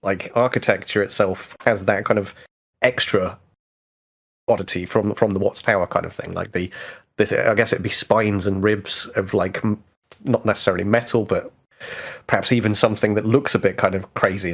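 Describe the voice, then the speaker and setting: A man's voice: on the low side, moderate pace. A man co-hosting a casual worldbuilding conversation, musing tentatively as he builds on ideas. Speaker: podcast co-host.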